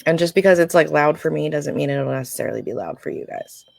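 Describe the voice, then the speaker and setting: A woman with a scratchy voice hosting a live stream, giving a casual spiritual card reading in an informal, halting, explanatory way. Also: deep voice, expressive, creaky. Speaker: live-stream oracle card reader and host. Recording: music playing during a live stream.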